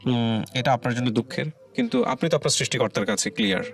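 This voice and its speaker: A Bangladeshi man hosting a conversational life-story radio interview, speaking in a reflective, deliberate way with some English words mixed in. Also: medium pitch, lively intonation, vocal fry. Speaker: radio show host. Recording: music under the voice. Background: background music.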